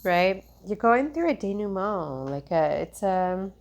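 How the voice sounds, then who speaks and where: A woman's voice: mid-range voice, very animated, measured pace, a little mellow. A woman giving a tarot reading in a loose, meandering way, full of fillers and restarts. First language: English. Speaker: tarot reader. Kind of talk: casual spiritual tarot reading.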